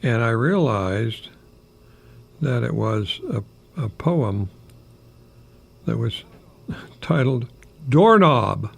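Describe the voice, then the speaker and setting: An older American man with a very creaky, gravelly voice hosts a folksy radio talk. He rambles gently in a reflective way, stopping mid-phrase. His tone is husky and worn. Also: medium pitch, lively intonation, very slow, long pauses. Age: older, retired, 60s or above. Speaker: retired English professor hosting a radio program.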